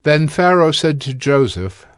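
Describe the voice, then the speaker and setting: A man narrating scripture in a solemn, formal, measured scripted style. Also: a little high, sing-song, smooth, dry recording, studio quality. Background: soft music.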